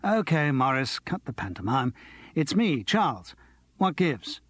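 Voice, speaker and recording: A man's voice: fairly high pitch, sing-song, a little slow, a bit bright, no echo, exaggerated voice. A man voicing a wry, somewhat evasive actor in a storybook reading. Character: wry, middle-aged male jobbing actor.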